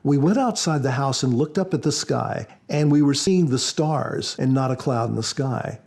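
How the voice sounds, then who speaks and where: A man speaking as an author on a podcast, explaining things in a measured, reflective way. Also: mid-range voice, lively intonation, vocal fry, a little mellow. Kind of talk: measured explanatory talk with scripture readings.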